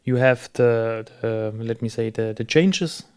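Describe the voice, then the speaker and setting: Non guy chatting on a business podcast, mid pitch, agreeable, kinda halting while he finds words. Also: darkish tone, clear, resonant tone. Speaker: podcast host. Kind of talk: conversational business podcast discussion.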